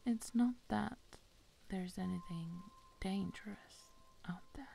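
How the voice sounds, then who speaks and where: Woman playing Rose, creaky whispering manor hostess. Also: medium pitch, a little hiss or hum. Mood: feigned concern. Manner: hushed, halting, eerily solicitous. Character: Rose, falsely caring young hostess of a haunted manor. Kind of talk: whispered horror ASMR roleplay.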